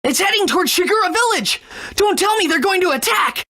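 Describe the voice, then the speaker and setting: High-voiced, earnest young hero. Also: female voice, lively intonation, brisk, sharp tone, shouting, exaggerated voice. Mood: urgent. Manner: earnest, eager. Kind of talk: video game voice acting.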